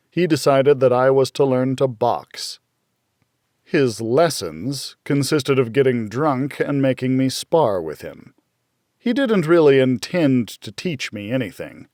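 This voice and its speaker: A man reading a dark first-person thriller as an audiobook, in a measured, dramatic storytelling manner. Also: mid-range voice, no echo, clean studio sound. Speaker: audiobook narrator.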